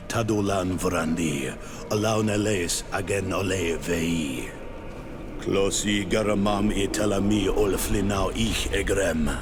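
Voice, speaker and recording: An actor playing a solemn cult leader in a video game, declaiming ritual words in an invented tongue. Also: male voice, sits low.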